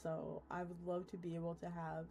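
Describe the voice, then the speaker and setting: A woman's voice: lowish voice, fairly quick, vocal fry, slight background noise. A woman streamer talking casually to her live audience, informal and openly reactive as she chats and reads aloud. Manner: informal, animated reactions, addresses viewers. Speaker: streamer hosting a live read-along. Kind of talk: casual livestream chat, reacting and reading aloud.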